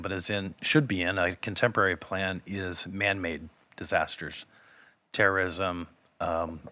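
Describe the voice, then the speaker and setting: A man with a slightly raspy voice, presenting to a city council through a mask, hesitant with many ums and restarts. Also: sits low, bright, forceful tone, dry recording. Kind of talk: meeting presentation. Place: council chamber. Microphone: wearing a mask.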